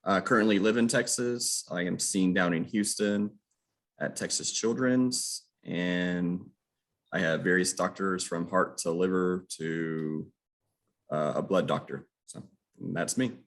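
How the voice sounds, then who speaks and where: A man's voice: deep voice, measured pace. A man in his late thirties introducing himself in a matter-of-fact way on a casual podcast. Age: 30s. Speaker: podcast guest.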